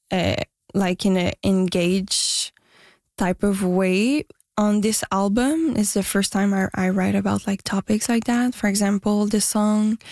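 A woman answers questions about her music in a soft, halting way. Her pitch sits in a middle range. The recording is dry and close. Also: no room sound. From Quebec, Canada. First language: French and Spanish. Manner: soft-spoken, hesitant, many fillers and restarts. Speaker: musician guest. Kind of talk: interview answers.

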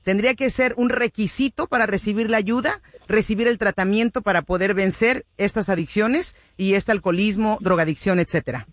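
A woman's voice: medium pitch, fast, no echo, clean studio sound. A woman hosting a radio interview, summarizing each answer in Spanish with frequent fillers.

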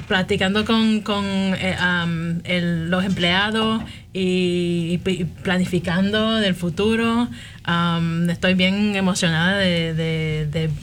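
Guest: woman from Miami, mixed Puerto Rican-Mexican accent, slow and halting, faint hiss behind. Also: fairly low pitch. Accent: mixed Puerto Rican and Mexican Spanish. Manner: halting, many fillers and restarts. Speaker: library supervisor, guest. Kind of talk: radio interview.

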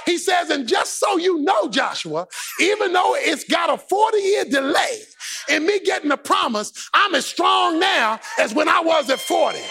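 A pastor preaching a sermon with passion, shouting in a repetitive, sing-song cadence. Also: male voice, extremely high pitch, very animated, moderate pace, gravelly, faint music behind.

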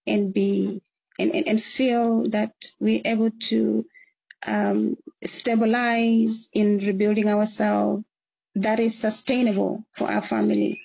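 A woman's voice: mid-range voice, level tone, slow pace, vocal fry, dark tone, slightly rough, rough recording. A woman from northern Uganda with a Ugandan accent, answering interview questions in an earnest, encouraging way. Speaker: returnee guest.

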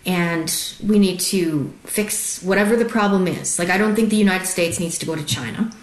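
A woman from Alberta with a lowish voice talks as a talk-show guest, earnest and rambling as she works through her thoughts. Her voice carries a noticeable echo from the room around her. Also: moderate pace. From Canada, Alberta. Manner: earnest, rambling, thinks aloud. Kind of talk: casual talk-show chat.

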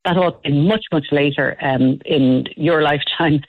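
A woman's voice: sits low, unhurried, no echo. Irish woman lecturer on a phone line, dry tinny sound, lots of ums. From Ireland. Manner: thoughtful, with frequent fillers and restarts. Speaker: radio lecturer and author. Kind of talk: guest on a radio interview.

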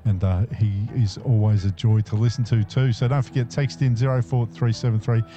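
A man's voice: on the low side, steady tone, fairly quick, over music. A male radio DJ, chatting easily between songs with lots of uh and um fillers. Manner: easygoing, frequent uh and um fillers. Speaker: radio host. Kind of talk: casual radio DJ patter. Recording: dull lo-fi radio broadcast.

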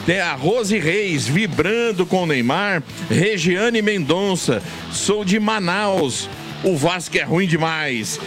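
A man's voice: high-pitched, lively intonation, slow, fairly bright tone, faint music behind. A Brazilian man co-presenting a sports radio show, reading out listener messages in an informal, bantering way. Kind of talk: casual sports radio chat.

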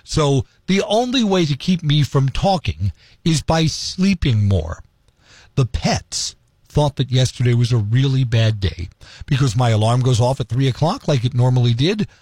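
A man's voice: medium pitch, animated, normal speed, a little mellow, no room sound. A male morning radio news host, chatty and self-deprecating, talking with a voice that is still recovering after he lost it. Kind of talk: casual radio monologue. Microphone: studio microphone.

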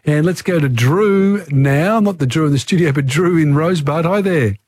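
A man's voice: fairly high pitch, a little mellow, no room sound, studio quality. Chatty man hosting a radio quiz. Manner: chatty, quick asides, jokey. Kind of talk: casual overnight radio talkback. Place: radio studio. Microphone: studio microphone.

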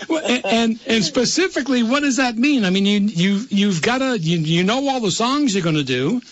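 A man with a notably high voice hosts a live radio interview. He rambles and trips over his words, repeating himself before each thought lands. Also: lively intonation, moderate pace, crowd noise. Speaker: radio host.

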